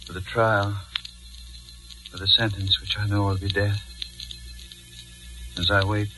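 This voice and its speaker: An actor playing a panicked judge turned murderer, melodramatic and pleading, in 1940s radio thriller style. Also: male voice, on the low side, level tone, measured pace, close to an everyday voice, poor audio. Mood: resigned dread. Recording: old 1940s radio recording with music.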